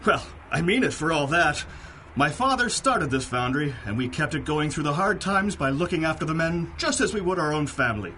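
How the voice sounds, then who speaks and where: An actor playing a hearty, plain-spoken foundry owner in a 1930s radio drama, with a folksy, emphatic manner. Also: male voice, a little high, lively intonation, fairly quick, bright, forceful tone, slight background noise. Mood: hearty, grateful.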